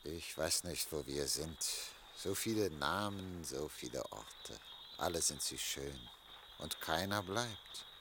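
Weary, wistful gentleman with swooping pitch. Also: lively intonation, slow, noisy background, exaggerated voice. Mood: weary, agitated. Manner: dreamy, theatrical. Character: weary, wistful male official lost in memories. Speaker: actor. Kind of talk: German radio drama acting.

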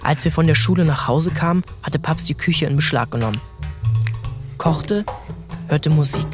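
Actor as a measured male narrator over music. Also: on the high side, close to an everyday voice. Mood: vivid. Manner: incantatory short repeated phrases, measured. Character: grown son recalling his wild, hungry boyhood. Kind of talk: literary first-person radio play narration. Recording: music behind the voice.

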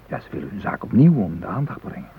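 An actor playing a practical, caring male hostage in a radio drama, talking in a measured, reasoning way. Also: on the low side, very animated, phone-quality sound, a little hiss or hum. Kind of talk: naturalistic radio drama acting.

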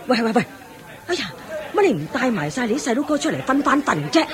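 Brash older widow amid a crowd. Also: female voice, fairly high pitch, very animated, fast talker. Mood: bossy. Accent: Hong Kong Cantonese. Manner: exclamatory, nosy, bossy, sighing. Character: chatty brash older widow queuing for alms. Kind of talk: Cantonese radio drama acting. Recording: busy crowd behind the voice. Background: crowd of people.

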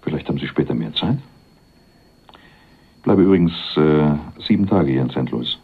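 An actor playing a flirtatious, pushy courier in a German radio crime drama, wry and given to small hesitant stammers. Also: male voice, rumbling low, animated, normal speed, husky, heavily acted. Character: flirtatious, pushy male courier passing through town. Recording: muffled phone-like old radio drama, faint noise.

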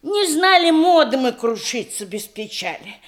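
Chatty doting old country lady, high swoopy voice, radio comedy in verse. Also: big pitch swings, unhurried. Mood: merry, nostalgic. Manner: gushing, doting, old-fashioned folksy speech. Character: talkative, affectionate elderly country matron fond of old times.

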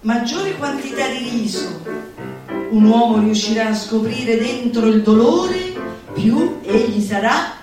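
A woman's voice: on the high side, slow pace. A woman gives a theatrical, declamatory and mocking recitation, stretching words for emphasis. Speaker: actress performing a literary monologue. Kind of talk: theatrical recitation of a satirical manifesto. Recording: very echoey room, distant, music behind. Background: music.

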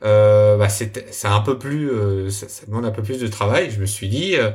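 A young adult man, a software developer, explaining his project in a casual interview, hesitating often with many fillers and restarts. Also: fairly low pitch, normal speed. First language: French.

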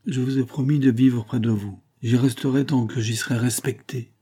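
A man's voice: mid-range voice, level tone, normal speed, dark tone, lightly acted. Man voicing a proud, indignant young woman. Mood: resigned, firm. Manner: firm, dignified, emphatic. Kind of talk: dramatised audiobook reading.

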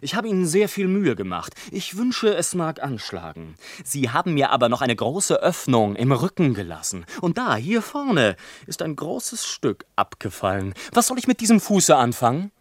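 A man playing a whimsical, talkative old-world gentleman in a German radio play, playful and rhetorical in delivery. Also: on the high side, very animated, moderate pace, few pauses, no room sound, studio quality. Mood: politely complaining. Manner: playful, rhetorical, mimics instrument sounds. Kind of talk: theatrical radio play monologue, literary German.

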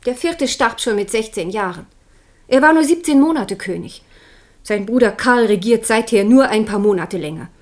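A woman's voice: fairly high pitch, animated, normal speed, close to an everyday voice. An actress playing a proud, passionate Protestant queen and mother in a 1970s radio drama, indignant and fervent.

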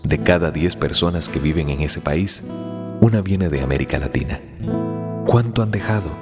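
Solemn deep narrator for radio drama, big reverb, loud guitar. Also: male voice, moderate pace. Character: solemn documentary narrator posing rhetorical questions.

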